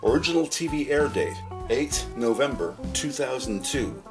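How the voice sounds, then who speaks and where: A man reading scripted descriptive narration in a measured, announcer-like way. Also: medium pitch, animated. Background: background music.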